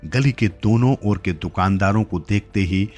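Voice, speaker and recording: A man's voice: lowish voice, normal speed, a little mellow, faint music behind. A man narrating a scripted literary story as a storyteller.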